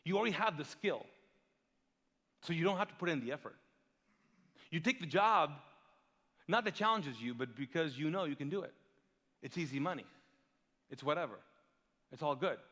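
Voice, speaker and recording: A male pastor raised in Kuwait whose first language is Urdu, preaching with lively storytelling and rhetorical questions. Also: fairly high pitch, moderate pace, pauses often, long reverb tail. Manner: animated storytelling, big swings in loudness, rhetorical questions. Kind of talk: sermon. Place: large church hall.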